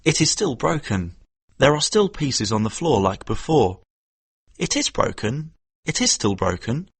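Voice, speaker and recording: Stubborn teenage boy, voiced by a male reader, husky, lively pitch, dry storybook read. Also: unhurried, dry recording, exaggerated voice. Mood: earnest.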